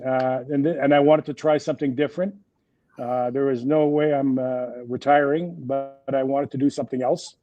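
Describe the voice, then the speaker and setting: A man's voice: medium pitch, little pitch movement, normal speed, dull audio, over music. A French Canadian man in his sixties, a native French speaker, speaking English in an interview with many fillers and restarts. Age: 60s. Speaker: guest executive. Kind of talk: interview conversation.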